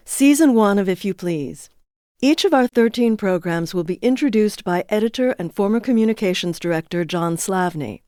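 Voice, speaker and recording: A woman's voice: medium pitch, darkish tone, smooth, dry recording, studio quality. A woman reading a scripted introduction as the host of a podcast series.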